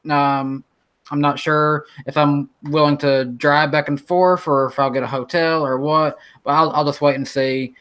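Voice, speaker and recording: A man in his early twenties hosts a relaxed podcast call. His voice sits a bit higher than most men's. He restarts his sentences often, repeating words before he gets going. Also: unhurried, smooth. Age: 20s. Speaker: podcast host. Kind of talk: casual podcast chat.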